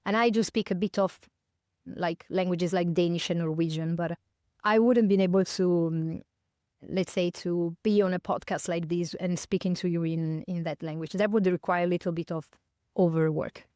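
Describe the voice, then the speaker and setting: Italian woman in her fifties, smooth voice. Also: on the low side, steady tone, normal speed, warm tone, no room sound, clean studio sound. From northern Italy, near Milan. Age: 50s. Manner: thoughtful, many fillers and self-corrections. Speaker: podcast guest. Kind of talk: reflective conversational interview.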